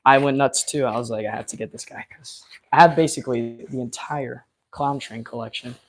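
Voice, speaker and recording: A man chatting loosely through a live unboxing, his voice going hoarse from hours of talk. He rambles along with plenty of ums and uhs in a mid-pitched, everyday tone. His enthusiasm carries through the fillers. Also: steady tone, a little fast. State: losing his voice. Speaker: live-stream host. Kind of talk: casual live unboxing chat.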